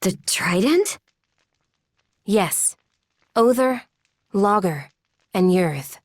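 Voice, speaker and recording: A woman's voice: mid-range voice, animated, very slow, no room sound, studio quality. An actress playing a resolute, no-nonsense young warrior woman in a video game, speaking in a terse, businesslike way. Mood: serious. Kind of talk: video game cutscene acting.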